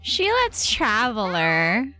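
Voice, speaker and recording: A woman streaming a game, reacting in a playful, offhand way. Her delivery is unhurried but lively in pitch, and faint game music plays behind her. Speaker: game livestreamer. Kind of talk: casual live commentary over a game.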